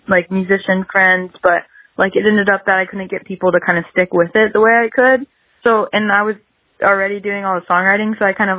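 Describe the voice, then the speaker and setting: A woman's voice: fairly low pitch, no echo. A young woman talking casually as an interview guest, rambling and peppering her sentences with "like". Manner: rambling, dense with filler "like". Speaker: podcast guest, singer-songwriter. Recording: dull lo-fi radio-like line.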